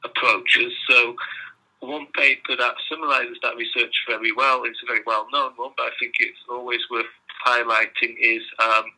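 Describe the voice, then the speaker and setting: A man's voice: medium pitch, flat delivery, moderate pace, pushed and bright, poor audio. A middle-aged man from Belgium with a Belgian accent, answering interview questions in a hesitant way with many ums. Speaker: guest researcher.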